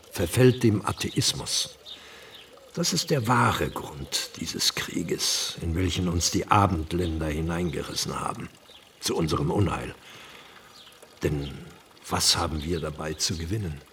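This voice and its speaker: An actor playing a frail, pious old Turkish gentleman in a radio drama, slow, courtly and philosophical. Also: sits low, distant mic, a little hiss or hum, heavily acted. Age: older.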